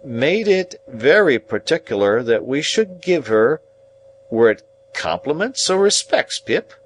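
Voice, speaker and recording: A male narrator acts an earnest, simple working man from a storybook. He labours slowly over his phrases, rustic and kindly, lifted in pitch, with faint noise behind. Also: very animated, rough recording. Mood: earnest, effortful. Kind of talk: audiobook character voice.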